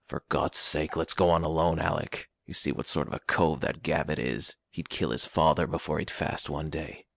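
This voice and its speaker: Woman voicing a sly little crippled convict, dropped way low, muttery and nasty, dry sound. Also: fairly even intonation, normal speed, vocal fry, few pauses, dry recording, full character voice. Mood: urgent. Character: thin, malignant crippled male convict, sly and cowardly.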